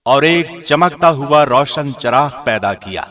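A man reading a scripture translation in a formal, measured radio-host style. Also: medium pitch, lively intonation, sharp tone.

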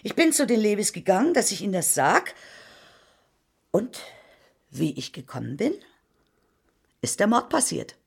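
An actress playing a spiteful, gossiping older woman in a German radio drama, giving insistent and halting testimony in a dramatic way. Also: medium pitch, very animated, vocal fry, slightly rough, exaggerated voice. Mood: dramatic, horrified. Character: older female servant broker, spiteful, gossiping accuser.

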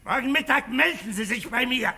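A man's voice: extremely high pitch, lively intonation, a little fast, gravelly, sharp tone, husky, exaggerated voice. A man playing a furious cavalry colonel in a radio drama, bellowing abusive, clipped military commands. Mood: furious, barking.

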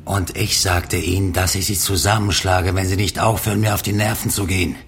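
An actor playing a shady, irritable truck driver in a radio crime drama, curt, defiant and evasive. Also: male voice, low voice, fairly even intonation, a little fast, fairly bright tone, slight background noise. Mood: irritated.

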